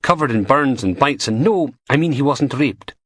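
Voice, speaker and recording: A man's voice: medium pitch, animated, normal speed, a bit bright, no echo, studio quality, pitched above the performer's own voice. A man voicing a playful, teasing young goth woman working as a lab technician in an audiobook.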